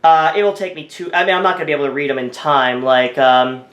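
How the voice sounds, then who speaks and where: A man talks through his screen recording, thinking aloud and correcting himself as he goes. His voice sits a little high for a man. The sound is roomy and echoey. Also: flat delivery, fast, fairly bright tone, lo-fi sound. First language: English. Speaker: tech video creator. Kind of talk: casual screen-recording commentary.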